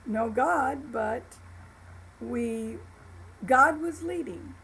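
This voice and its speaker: A woman's voice: a little high, extremely slow, a bit bright, a little hiss or hum. A woman in her seventies from Florida, telling her life story in a chatty, personal way. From Florida, USA. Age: 70s. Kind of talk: personal testimony to camera.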